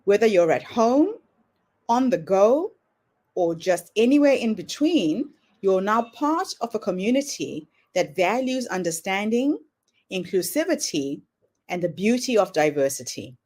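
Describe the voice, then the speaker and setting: A woman's voice: mid-range voice, sing-song, a little slow, a little mellow. A woman hosting a podcast, reading a welcoming intro and greeting her guest. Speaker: podcast host.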